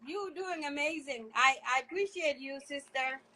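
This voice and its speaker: A woman in her forties from Africa, a guest on a dating show, friendly and quick to laugh. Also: high voice, bright, forceful tone. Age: 40s. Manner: friendly, laughs easily, says girl and child. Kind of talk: casual interview.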